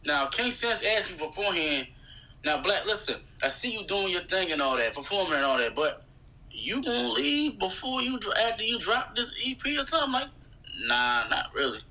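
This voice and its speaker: A man's voice: high voice, moderate pace, rough recording. A man from West Philadelphia with a Philadelphia accent, a rapper telling stories casually and slangily as an interview guest. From West Philadelphia, USA. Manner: colloquial, slangy, animated retelling with quoted dialogue. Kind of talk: casual chat, storytelling.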